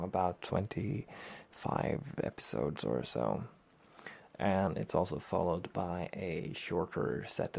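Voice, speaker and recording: A soft-spoken man with a breathy, gravelly voice, giving a casual, low-key review as a rambling, hesitant talk show host.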